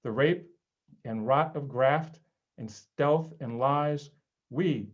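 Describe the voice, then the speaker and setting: A man reciting poetry at a reading in a dramatic, emphatic way, with deliberate phrasing and pauses. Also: medium pitch, lo-fi sound. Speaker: reader at a literary read-in.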